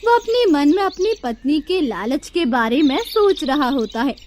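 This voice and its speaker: A woman's voice: high voice, sing-song, moderate pace, a little hiss or hum. A woman narrating a scripted children's moral story as a storyteller. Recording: loud music bed behind voice. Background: music.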